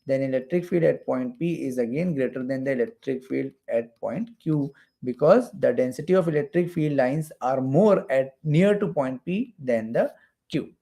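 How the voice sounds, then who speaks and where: A male physics instructor gives a step-by-step lecture. His voice is creaky and somewhat mellow, and he often restates a phrase to drive it home. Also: medium pitch. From India. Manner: repeats phrases for emphasis. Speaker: physics teacher for exam preparation. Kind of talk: explanatory lecture while drawing diagrams.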